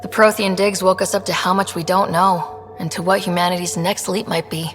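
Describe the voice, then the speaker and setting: A woman's voice: lowish voice, fairly even intonation, a little fast, lightly acted. A woman playing an earnest, inquisitive young female explorer in conversational video game dialogue. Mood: curious, friendly. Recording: long reverb, music behind.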